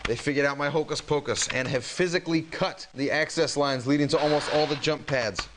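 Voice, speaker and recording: An actor playing a frank, harried military hacker in a video game, talking in an informal, slangy way. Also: male voice, medium pitch, natural voice. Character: frank, harried male military hacker running rebel teleport pads. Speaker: radio communications and teleport operator. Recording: noisy game audio with clicks.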